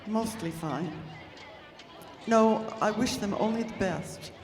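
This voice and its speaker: A woman's voice: mid-range voice, slow pace, faint music behind, some chatter behind. A woman playing an articulate, affectionate Edwardian minister's wife in an old-time radio drama, with genteel, precise phrasing. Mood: wistful. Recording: echoey hall, faint audience sounds.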